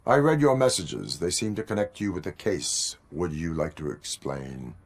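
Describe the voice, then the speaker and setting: A man voicing a stoic cyborg police officer in a video game, speaking in stiff, formal, deliberate phrases. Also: low voice, very animated, exaggerated voice. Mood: probing, formal. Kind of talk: video game cutscene acting.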